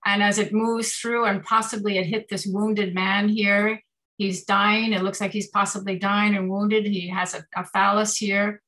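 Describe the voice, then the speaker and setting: Bright-voiced woman presenting art slides. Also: mid-range voice, flat delivery, measured pace, poor audio. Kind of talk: informal slide commentary on art. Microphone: video call.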